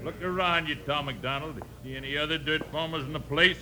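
Taunting american gunfighter, very sharp bright voice, a bit high, old radio noise. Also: male voice, brisk. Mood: jeering. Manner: jeering. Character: taunting, cocky male gunslinger bully. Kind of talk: 1950s radio western acting.

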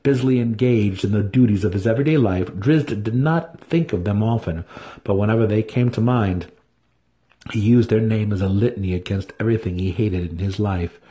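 A man's voice: lowish voice, normal speed, warm tone. A man narrating a fantasy audiobook as a dramatic scripted reading.

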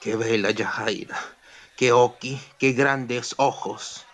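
An actor playing a flirtatious Italian immigrant suitor in a humorous radio soap, wooing in a mix of Italian and Spanish. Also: male voice, mid-range voice, fairly even intonation, takes it slowly, close to an everyday voice. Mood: amorous.

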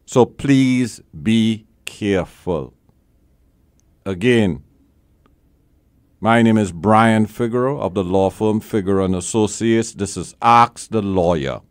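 A man's voice: lowish voice, slow pace, dry recording, clean studio sound. A man hosting a call-in legal advice show as a lawyer, talking bluntly and with emphasis, repeating his key points.

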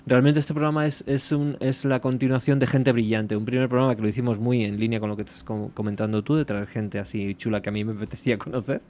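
A man's voice: mid-range voice, fairly even intonation, fast, few pauses, darkish tone. Male radio host, casual interview style, faint background noise.

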